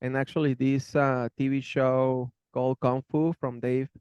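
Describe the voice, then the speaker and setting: A man's voice: mid-range voice, flat delivery, measured pace, a little mellow, clean tone, no echo. A man in his thirties from Venezuela, speaking English with a Venezuelan Spanish accent, answering interview questions in a friendly way and often repeating words as he searches for phrasing. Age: 30s. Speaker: interviewee, channel host.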